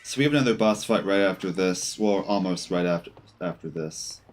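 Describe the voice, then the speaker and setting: A man's voice: sits low, brisk, slight background noise. A man giving casual gameplay commentary as a streamer, half talking to himself. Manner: talks to himself and the game. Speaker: game streamer.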